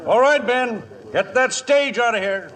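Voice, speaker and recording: A man's voice: very high voice, animated, a little slow, fairly bright tone, heavily acted. An actor with an American accent playing a genial, folksy but firm Old West lawman in a radio western, with an easygoing drawl.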